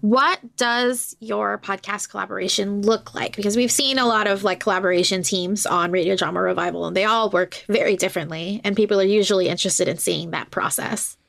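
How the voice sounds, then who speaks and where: A woman hosts a podcast interview in a medium-pitched voice. She puts her questions conversationally, choosing her phrasing with care and in a measured way. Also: steady tone, gravelly, a bit bright.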